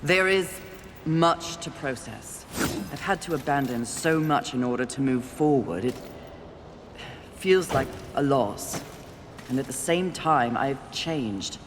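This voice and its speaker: Game role: stern female warrior, imperious, clipped and cold, with noisy rumble behind her. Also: animated, takes it slowly, exaggerated voice. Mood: troubled, reflective. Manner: curt, cold, commanding. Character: stern, haughty female warrior on a grim mission. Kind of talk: video game cutscene dialogue.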